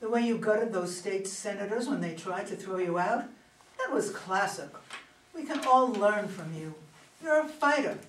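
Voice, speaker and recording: A woman's voice: medium pitch, expressive, normal speed, natural voice. A woman playing a smug, ambitious younger female politician in a play reading, self-assured and calculating. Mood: coolly cutting. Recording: echoey room, distant, noisy.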